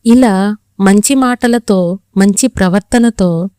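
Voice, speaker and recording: A woman's voice: mid-range voice, slow, warm tone, smooth, no echo, clean studio sound. A woman narrating a scripted moral story for children, as a storyteller.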